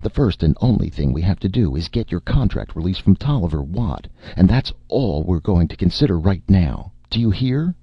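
A man voicing a feisty, bossy woman in a story, a sharp-tongued agent who scolds briskly and emphatically. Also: deep voice, very animated, husky, dry recording, lo-fi sound, voice pushed up, exaggerated voice. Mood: firm, bossy.

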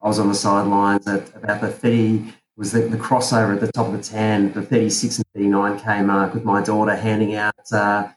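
A man's voice: lowish voice, monotone, fairly quick, few pauses. Hesitant man in a roomy recording. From South Africa. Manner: rambling, hesitant, many fillers and restarts. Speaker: podcast guest, runner. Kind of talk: casual interview chat. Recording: roomy with faint ambient noise.

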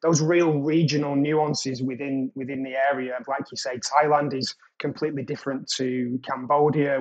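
A man with an English accent, speaking in a slightly husky voice as a podcast guest. He talks loosely and casually, with plenty of fillers and restarts, over a remote call. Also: medium pitch, low-quality recording. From England. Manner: relaxed, rambling with fillers and restarts. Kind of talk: casual interview talk.

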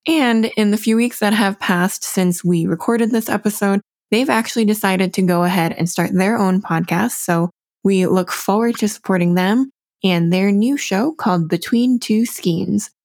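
A woman hosting a podcast, reading a scripted intro with clear, upbeat delivery. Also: mid-range voice, moderate pace, darkish tone, no echo, studio quality. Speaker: podcast host. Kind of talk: scripted intro and interview questions.

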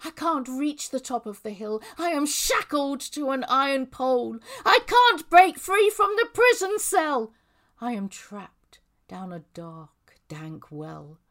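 High-voiced woman, emphatic poetry reading. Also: big pitch swings, slow pace, a bit bright. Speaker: poet reading own poem.

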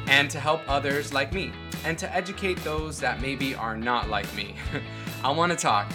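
An American man hosting a podcast, chatty and upbeat, introducing his show and interviewing a guest. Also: medium pitch. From United States. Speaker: podcast host.

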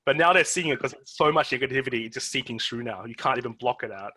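A man from Australia hosting a casual interview, speaking haltingly with frequent restarts. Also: medium pitch, pushed and bright, husky. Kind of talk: casual conversation.

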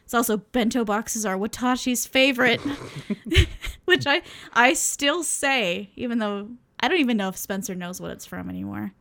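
Young woman, podcast co-host, casual chat, swears, vocal fry. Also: on the high side, expressive, normal speed, a bit bright, a little hiss or hum. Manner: chatty, frequent fillers and laughter, swears casually.

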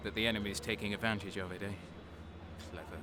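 A man's voice: fairly low pitch, fairly even intonation, quick pace, background noise, close to an everyday voice. A man playing a cynical, guarded wounded guardsman sergeant, narrating a sci-fi war audio drama in a sardonic, brooding way. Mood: grim.

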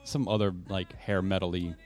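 A man co-hosting a podcast in casual, halting conversation with music playing behind him. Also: deep voice, level tone, a little mellow. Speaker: podcast co-host. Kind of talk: casual chat.

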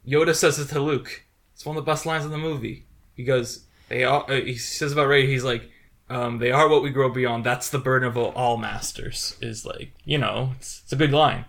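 A man co-hosting a podcast, chatting casually about films in an animated, rambling way, often acting out quotes. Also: mid-range voice, moderate pace, creaky. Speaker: podcast co-host. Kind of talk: casual film chat.

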